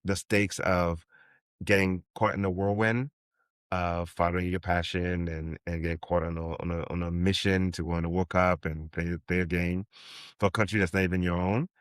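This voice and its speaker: Deep-voiced man musing in an interview. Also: level tone, moderate pace, clean tone, dry recording, clean studio sound. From Haiti. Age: 50s. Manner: rambling, many restarts and ums. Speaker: novelist guest. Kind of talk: interview conversation.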